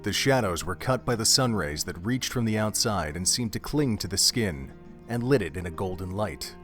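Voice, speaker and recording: Male narrator, low voice, steady descriptive read over faint music. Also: normal speed. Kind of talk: scripted audio drama narration.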